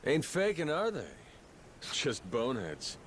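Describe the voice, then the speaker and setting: An actor playing a sly, commanding yakuza boss turned pirate captain in a video game, blunt and sardonic. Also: male voice, fairly high pitch, wildly swooping pitch, a little slow, slight background noise. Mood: sardonic, suspicious. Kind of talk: dramatic video game voice acting.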